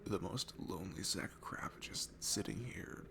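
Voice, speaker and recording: A man playing a shy, nervous, sweet young guy at a bar in an intimate ASMR roleplay, stammering and hesitant, with a soft gravelly voice that drops into hushed asides. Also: low voice, normal speed. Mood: sheepish. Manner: hesitant, stammering, self-deprecating, breaking into hushed breathy asides. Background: music.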